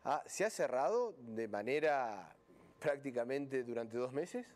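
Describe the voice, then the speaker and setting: A man with an Argentine Spanish accent hosting a broadcast news interview, chatty and quick to fill pauses with short agreeing sounds. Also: mid-range voice, expressive, a little mellow. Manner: chatty, many "ujú" backchannels. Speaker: news host.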